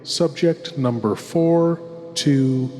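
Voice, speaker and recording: Guy doing a creepy courteous horror radio host, super slow n theatrical, big long reverb. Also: medium pitch, a little mellow, faint music behind. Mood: brooding. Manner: slow, theatrical, deliberate pauses. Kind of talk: scripted old-time radio horror hosting.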